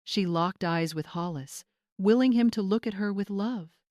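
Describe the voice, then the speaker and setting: A woman narrator reading a scripted dramatic story in a measured, suspenseful manner. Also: medium pitch, darkish tone, no echo, studio quality.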